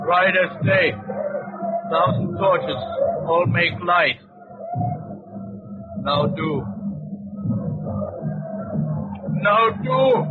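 An actor playing a fierce African native son in halting broken English, in the style of 1940s radio drama. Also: male voice, high-pitched, loud music behind the voice. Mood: commanding. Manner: halting broken English in short clipped phrases. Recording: tinny muffled 1940s radio recording.

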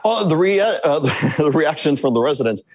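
A man from Hawaii, a meteorologist, explaining the weather and big surf in a halting, repetitive way during a news interview. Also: a little high, animated, darkish tone. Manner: halting, repeats words while explaining.

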